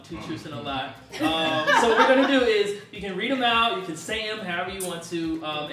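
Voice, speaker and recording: A man in his thirties hosting a casual group talk in a living room on a lapel mic, upbeat and quick to laugh. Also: on the high side, fairly bright tone, far from the mic. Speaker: host. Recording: roomy, from off, music behind.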